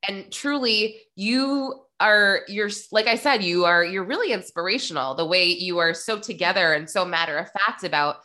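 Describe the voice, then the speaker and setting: Effusive, bright-voiced woman in her thirties. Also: medium pitch, normal speed, few pauses. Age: late 30s. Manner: effusive, gushing, informal. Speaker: podcast host. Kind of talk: casual chat interview.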